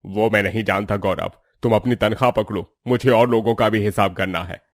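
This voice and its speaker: Man as a low-voiced, unfeeling boss. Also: fairly even intonation, fairly quick, no echo, studio quality. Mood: firm, dismissive. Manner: firm, curt. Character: stern, unfeeling male factory boss.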